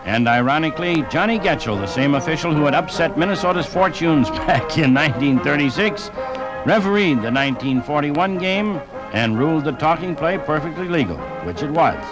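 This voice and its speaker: Animated actor as a sportsman telling football tales. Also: fairly high pitch, normal speed, music in the background, lightly acted, rough recording. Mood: amused storytelling. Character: jovial sportsman reminiscing about football on a fishing trip. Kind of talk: 1950s radio storytelling and sports recollection.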